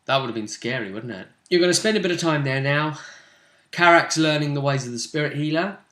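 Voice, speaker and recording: A man's voice: a little high, a little fast, bright, forceful tone. A man talking casually as game master of a tabletop role-playing game, chatty and fond of tag questions. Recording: echoey room.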